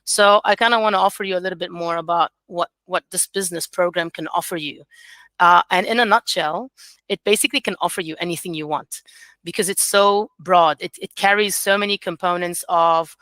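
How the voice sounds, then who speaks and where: Bright, clear-voiced woman on a video call. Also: on the low side, steady tone, a little fast, no room sound. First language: not English. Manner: warm, encouraging, chatty with many fillers. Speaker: academic coordinator. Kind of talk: informal webinar presentation.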